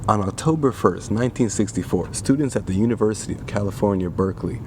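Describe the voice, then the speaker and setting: A man reading scripted newsreel narration as the narrator. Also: fast. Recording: rumbling, clearly noisy background.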